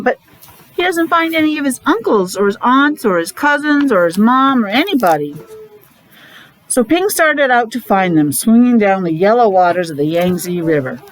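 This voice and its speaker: A woman, a teacher reading a picture book aloud to young children, pausing to explain and ask questions in simple words. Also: on the high side, big pitch swings, poor audio. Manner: child-directed, asking questions, repeating words for emphasis. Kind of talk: animated read-aloud with casual explanatory asides.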